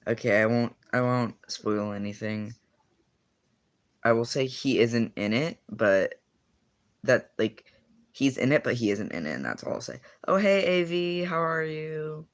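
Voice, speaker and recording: A man's voice: medium pitch, animated, measured pace. A teenage boy in casual livestream chat, answering viewers and rambling with lots of fillers and self-corrections. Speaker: streamer.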